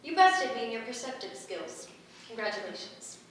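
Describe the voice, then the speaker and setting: A woman's voice: a little high, very animated, moderate pace, a bit bright. A woman playing an analytical, literal-minded water elemental in a staged play reading, with clipped, formal phrasing. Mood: analytical, clinical. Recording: echoey room from far off, faint noise.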